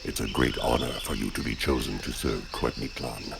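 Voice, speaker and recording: A man voicing a haughty, menacing ancient oracle in a video game, gravelly and pompous, with slow theatrical delivery. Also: fairly even intonation, noisy background. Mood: menacing, gloating. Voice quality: gravelly, very deep. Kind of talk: video game villain acting.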